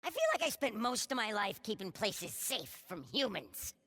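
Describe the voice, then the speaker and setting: A woman playing an ambitious, boastful little alien warrior in a video game, gravelly, eager and self-important, with long reverb. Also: medium pitch, very animated, moderate pace, bright, forceful tone, exaggerated voice. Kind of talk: video game character monologue.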